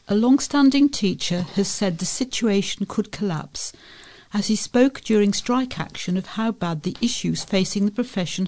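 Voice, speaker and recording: A woman with a creaky voice reading local newspaper articles aloud as a volunteer reader. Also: on the low side, darkish tone.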